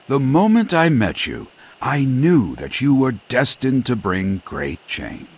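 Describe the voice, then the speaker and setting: A man's voice: mid-range voice, very animated, slow, breathy edge, exaggerated voice. An actor playing a solemn, grateful man who speaks in formal, lofty oratory in a video game cutscene. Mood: earnest gratitude. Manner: formal, florid, earnest oratory.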